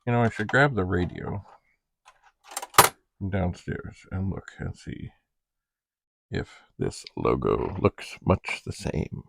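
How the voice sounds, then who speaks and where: A man's voice: deep voice, big pitch swings, slow pace, creaky, pauses often. A man chatting casually as a video host, rambling and thinking aloud as he shows an item. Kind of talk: casual unboxing chat.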